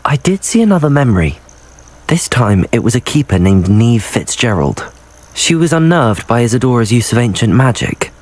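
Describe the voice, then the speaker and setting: A young man playing a cautious, level-headed teenage wizard student in a video game, earnest and measured. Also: mid-range voice, very animated, darkish tone.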